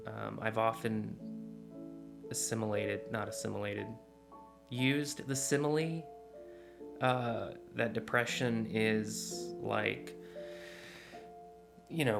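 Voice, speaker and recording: A man's voice: fairly low pitch, creaky. A man in his forties talking earnestly in a casual confessional vlog, rambling with fillers and restarts, recorded on a desk mic in a small dim room at home. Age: 40s. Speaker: vlogger. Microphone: desk mic in front, close camera framing.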